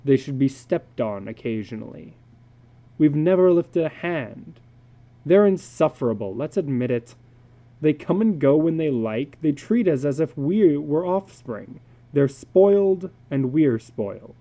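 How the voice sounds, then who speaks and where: A man's voice: on the high side, lively intonation, unhurried, mellow. A man reading the part of a stern, troubled father who is frustrated with his children. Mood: exasperated.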